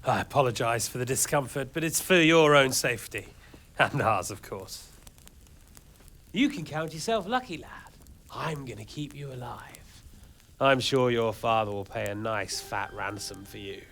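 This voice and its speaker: An actor playing a scheming mercenary lord who taunts a captive with silky menace. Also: male voice, mid-range voice, expressive, moderate pace, a bit bright, slight background noise. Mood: smug. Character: smug scheming mercenary lord, adult man. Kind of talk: game cutscene acting.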